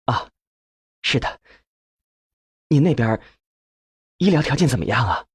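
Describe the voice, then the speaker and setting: A man's voice: medium pitch, big pitch swings, a little slow, breathy edge, no room sound, heavily acted. A man voicing an earnest, respectful researcher in an audiobook, speaking politely and with urgency as he probes for answers. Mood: earnest, urgent.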